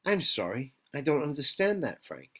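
A man voicing a polite, blandly calm female computer assistant in a flat, measured way, read from a novel. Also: on the high side, breathy edge, voice pushed up, heavily acted.

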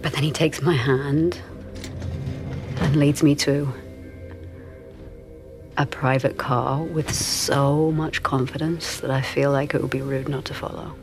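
A woman's voice: very deep voice, steady tone, slow pace, long pauses, music in the background. An actress playing a wistful married woman in her forties in an audio drama, speaking in a hesitant, confiding, intimate way. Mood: intimate, aroused. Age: 40s. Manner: hesitant, confiding, self-conscious.

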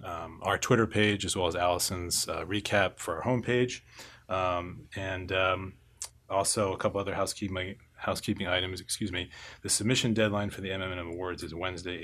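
A man hosting a podcast in a studio, reading announcements and introducing a guest in a conversational way with frequent filler words. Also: on the low side. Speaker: podcast host, editor.

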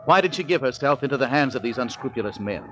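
A man's voice: mid-range voice, animated, quick pace, natural voice. A man announcing a 1940s radio serial with urgent, rhetorical drama. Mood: urgent, ominous. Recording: noisy old radio recording with bells.

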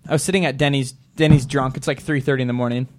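A man's voice: medium pitch, fairly even intonation, rapid-fire, a little mellow. A man hosting a casual talk show, telling rambling stories and laughing through them. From Montana. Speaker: show host. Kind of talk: casual storytelling chat.

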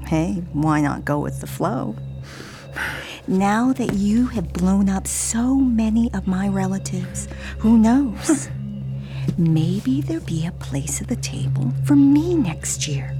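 A woman's voice: mid-range voice, lively intonation, slow pace, long pauses. An actress playing a secret flesh-eating half-breed woman in a radio horror drama, wry, hungry, taunting and confiding. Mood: gleeful menace. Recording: music under the voice.

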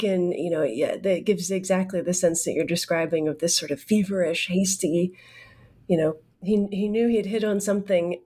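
A woman's voice: on the low side, dark tone, breathy edge. A woman interviewing an author in a chatty, self-correcting way. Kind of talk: conversational interview.

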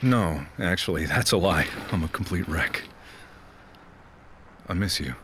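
A man's voice: sits low, animated, a little slow, a bit bright, a little hiss or hum. An actor playing a heartbroken, awkward night-shift worker in his twenties, downcast and hesitant, in a naturalistic audio drama. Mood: hurt, pleading. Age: 20s.

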